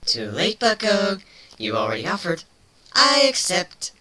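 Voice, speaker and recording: A performer voicing a feisty, defensive female changeling with a sharp tongue in a dramatic story reading. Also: medium pitch, huge pitch swings, measured pace, voice pushed up, full character voice. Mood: sullen, gruff. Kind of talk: dramatic fan fiction reading.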